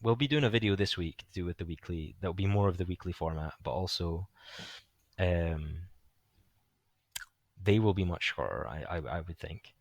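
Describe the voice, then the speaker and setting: Young podcast host, deep, slightly hoarse, loose rambling delivery, dry close sound. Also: male voice, moderate pace, a little mellow, no echo, dull audio. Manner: rambling, filler-heavy, swears casually. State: voice getting hoarse. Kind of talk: casual film chat.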